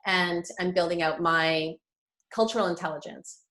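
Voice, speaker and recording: A woman's voice: on the low side, steady tone, moderate pace, sharp tone. A woman hosting an interview show, speaking in an upbeat and encouraging way. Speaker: host. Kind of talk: conversational interview.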